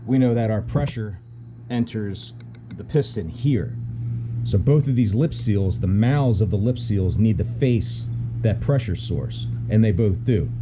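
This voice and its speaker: A middle-aged man giving a hands-on technical explanation as a mechanic, matter-of-fact and halting as he thinks aloud. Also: on the low side, background noise. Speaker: auto mechanic instructor.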